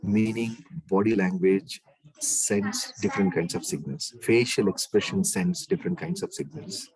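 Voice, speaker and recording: A man with a deep bass voice, lecturing an online class in an emphatic way and repeating key phrases. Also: expressive, moderate pace, a little mellow, poor audio.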